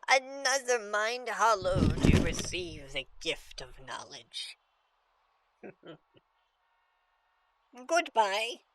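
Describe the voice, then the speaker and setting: A woman's voice: medium pitch, sing-song, slight background noise, full character voice, poor audio. A woman playing a sly, sinister old antique shopkeeper with a condescending manner, in a horror audio drama. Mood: gleeful, menacing. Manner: slow, condescending endearments.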